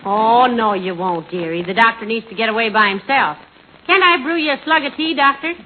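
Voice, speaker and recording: An actress playing a kindly, wry, sensible wife with a folksy manner in 1940s radio comedy style. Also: female voice, a little high, a little fast, natural voice. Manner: folksy, wry, gently correcting. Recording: tinny, noisy 1940s radio recording.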